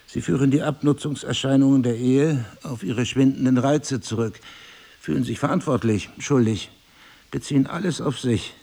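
An actor playing a remorseful middle-aged husband in an audio drama, speaking in a husky, gravelly, deliberate and intimate way. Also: male voice, mid-range voice, warm tone. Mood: lecturing. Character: remorseful, pleading husband, brooding and passionate.

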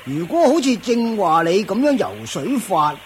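Strict, self-important ascetic master, radio drama; high, animated delivery, lecturing. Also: male voice, a little hiss or hum. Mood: stern lecturing. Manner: lecturing, punctuated with drawn-out fillers and grunts. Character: pompous, strict Taoist-style master of a forest of ascetics. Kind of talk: Cantonese Buddhist radio drama acting.

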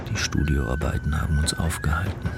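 A man playing a brooding composer who reads his work journal in a measured, deliberate, literary way for a radio play. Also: very deep voice. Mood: matter-of-fact. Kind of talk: scripted radio play narration, diary reading. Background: loud experimental music.

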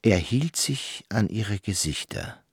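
A man narrating a literary radio play in a husky voice with deliberate, measured phrasing. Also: on the low side, big pitch swings, no room sound.

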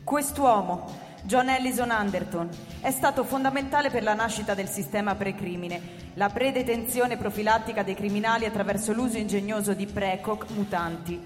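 An actress playing a formal radio bulletin announcer, explaining in a measured, didactic, official way. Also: female voice, medium pitch, lightly acted. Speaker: radio newsreader in a drama. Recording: reverberant hall, music over the voice. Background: clear music.

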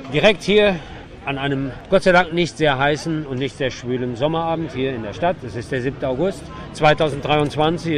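A man working as a radio host, giving a spontaneous spoken introduction on location outside a city bar. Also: a little high. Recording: noisy outdoor city street ambience.

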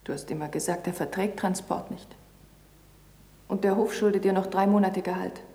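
A woman's voice: medium pitch, steady tone, normal speed, dark tone, close to an everyday voice. An actress playing a worried, reproachful young woman in a radio drama. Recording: echoing room.

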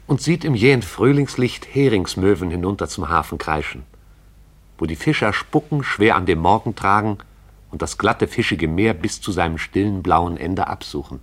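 Radio drama narrator: low voice, lyrical and measured literary storytelling. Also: male voice, expressive. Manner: measured, poetic delivery. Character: lyrical male storyteller narrating a small town's morning.

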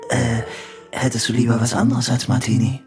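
A man's voice: medium pitch, monotone, moderate pace, over music, natural voice. An actor playing a deluded, lonely man in a radio play, chatting to an imagined sweetheart with murmured questioning tags, swinging from tender to curt. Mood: giddy, doting.

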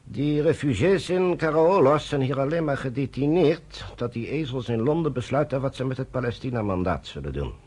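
A stern British general in a radio drama. His raspy voice moves widely in pitch as he gives curt, dismissive orders. He sounds commanding and used to being obeyed. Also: expressive, moderate pace, few pauses, darkish tone.

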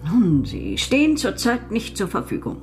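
A woman's voice: medium pitch, huge pitch swings, normal speed, darkish tone, soft background music. An actress playing an evasive, formal female office clerk in a radio crime drama, hesitant and officious.

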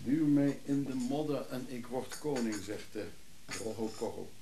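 Radio play role: needy, resentful man down on his luck, male actor, creaky mellow voice, pleading. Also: mid-range voice, a little slow, slight background noise, close to an everyday voice. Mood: needy, sullen. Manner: reproachful, pleading. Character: bitter, needy, down-on-his-luck brother, a recovering drinker. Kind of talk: radio drama acting.

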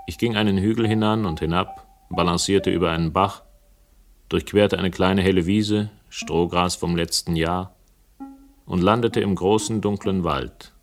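Deep-voiced, wry male investigator. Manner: ironic, literate, ornate wording. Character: wry, urbane male investigator narrating his own case. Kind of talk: German radio crime drama acting and first-person narration.

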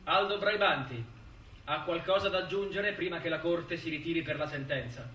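A man's voice: on the high side, normal speed, close to an everyday voice. An actor playing a stern, formal male judge, making solemn official proclamations in a stage drama. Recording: roomy, distant stage recording, faint noise.

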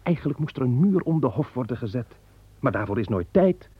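A man's voice: mid-range voice, very animated, fairly quick, breathy edge, no echo. A man playing a weary, hardworking son of the first man, talking bluntly and plainly in an old radio play. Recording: tinny old radio play recording with faint hiss.

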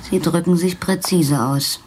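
Low-voiced, wistful woman, played by an actress in radio drama. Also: a little slow, background noise, natural voice. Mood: dry, wry. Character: melancholy, chilly woman who paints, drinking over checkers. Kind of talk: naturalistic German radio play dialogue.

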